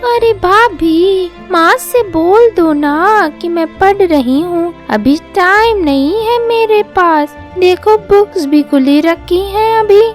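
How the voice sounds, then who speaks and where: A woman's voice: very high voice, lively intonation, takes it slowly, few pauses, faint music behind, pitched above the performer's own voice, full character voice. A woman voicing a whiny, indignant young female student in a storybook family tale. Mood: brushing off.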